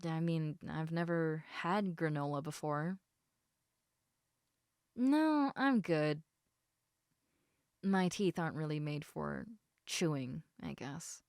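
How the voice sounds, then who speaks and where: A woman voicing an affectionate, teasing half-snake lamia in a casual, intimate audio roleplay, chatting informally with halting, trailing thoughts. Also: low voice, animated, a little mellow, clean tone, no echo, studio quality, full character voice. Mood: casual, hesitant.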